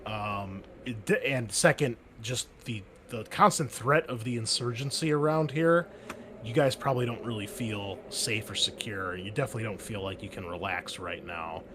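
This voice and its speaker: Guy gm narrating a tabletop game, lowish voice, lots of ums, bit of hiss behind. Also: normal speed, sharp tone. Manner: loose, rambling with many fillers. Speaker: game master.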